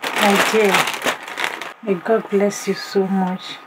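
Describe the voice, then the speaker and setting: Hoarse woman vlogger, slow and excitable, unboxing gifts at home; noisy recording. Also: medium pitch. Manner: excited, exclaims often and talks straight to viewers. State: hoarse, voice partly lost. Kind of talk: casual unboxing vlog.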